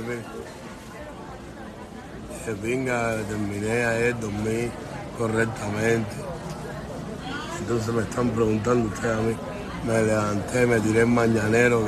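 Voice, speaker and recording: A man's voice: medium pitch, background noise. A man from Cuba with a Cuban Spanish accent, ranting casually to his viewers, joking and swearing, often breaking into laughter. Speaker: vlogger.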